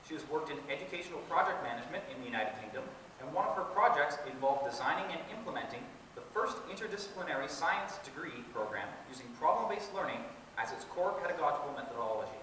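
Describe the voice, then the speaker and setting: A man's voice: a little high, fast, hardly any pauses. A man moderating a university panel discussion, introducing speakers and laying out the schedule with joking asides. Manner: lively, joking asides while reading introductions. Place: large lecture hall. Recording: echoey hall from far off, faint hiss.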